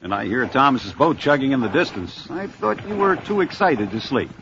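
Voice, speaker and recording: A man's voice: mid-range voice, sing-song, normal speed. An actor playing a genial older grandfather scientist on a 1970s children's adventure record. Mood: genial, teasing. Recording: muffled old record with music.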